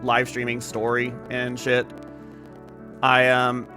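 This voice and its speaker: A man's voice: medium pitch, monotone, slow, bright, forceful tone, soft background music. A man from the United States live-streaming video games, chatting casually in a rambling, informal way. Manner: rambling, informal, mild swearing.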